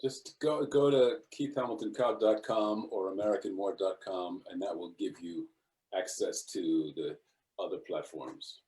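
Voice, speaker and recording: A man in a thoughtful panel discussion, halting and repeating words as he thinks aloud on a video call. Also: low-pitched, mellow.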